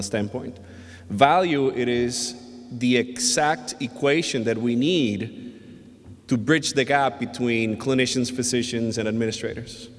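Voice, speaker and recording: A man from the Dominican Republic giving a conference presentation, speaking in a measured, hesitant way with many filler sounds. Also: mid-range voice. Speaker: invited conference speaker, emergency physician. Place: hotel ballroom at a summit. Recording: long reverb of a large ballroom.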